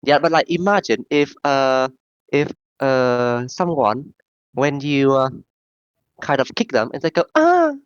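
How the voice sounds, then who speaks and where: A man with a sore throat in a casual group voice chat, rambling and halting, full of fillers. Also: mid-range voice, big pitch swings, clean tone, dry recording, muffled recording. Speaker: discussion participant.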